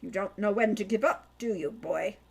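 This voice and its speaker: A woman's voice: medium pitch, moderate pace, vocal fry, a little mellow, breathy edge. Woman acting a male sword master, irritable, scornful, curt, sniffy, audiobook character.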